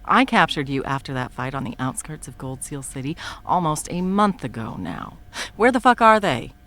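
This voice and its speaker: Woman playing a taunting, low-voiced supervillain. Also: very animated, moderate pace, fairly bright tone. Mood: menacing. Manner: taunting, profane, swings between whispers and outbursts. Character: menacing female supervillain, cruel, scheming, mocking. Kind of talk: audio roleplay acting.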